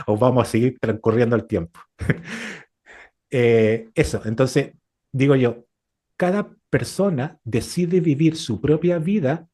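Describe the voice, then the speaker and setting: A man's voice: mid-range voice, warm tone, husky. A middle-aged man with a Chilean accent chatting reflectively as a co-host, explaining with examples and rhetorical questions. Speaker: podcast co-host. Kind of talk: casual reflective conversation.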